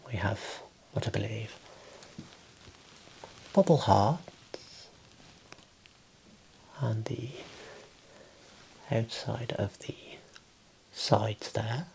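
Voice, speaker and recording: A man in his thirties whispering gently and soothingly in an ASMR roleplay as an optician, close to the mic in a small room. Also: lowish voice, vocal fry, low-quality recording. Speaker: ASMR performer playing an optician.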